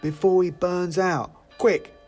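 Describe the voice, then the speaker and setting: Small storybook mouse elf voiced by a male reader: eager, mischievous, pitched up high. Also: fairly even intonation, takes it slowly, darkish tone, soft background music. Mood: urgent. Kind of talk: storybook character voice.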